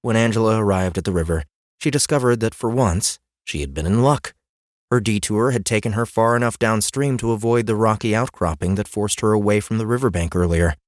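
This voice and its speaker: Low-voiced male audiobook narrator, dry sound. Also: a little fast, no room sound. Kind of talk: scripted fantasy story narration.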